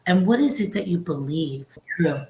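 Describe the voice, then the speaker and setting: A middle-aged woman hosting a podcast, talking in a soft, measured, reflective way. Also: lowish voice, animated, poor audio. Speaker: podcast host, real estate broker. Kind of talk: casual podcast conversation.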